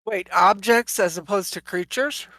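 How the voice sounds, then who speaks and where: A woman's voice: medium pitch, measured pace, bright, forceful tone, no echo. A woman who plays at a tabletop game and thinks aloud, hesitating as she weighs her moves. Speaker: player.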